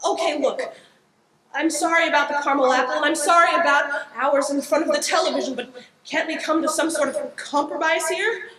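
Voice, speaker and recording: Actress as flustered, very high-voiced woman. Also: a little fast, pushed and bright. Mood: bargaining. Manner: exasperated, hesitant. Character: flustered exasperated woman, startled and bargaining. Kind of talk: improv comedy stage scene. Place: live improv stage with audience. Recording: echoey stage, distant mic, some noise.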